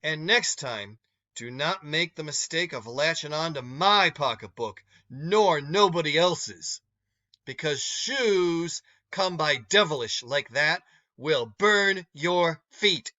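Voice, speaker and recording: A man voicing a stern but kind, motherly older woman in a storybook read-aloud, scolding in a folksy, emphatic, drawn-out way. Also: a little high, lively intonation, pushed and bright, lo-fi sound, exaggerated voice. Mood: stern, caring.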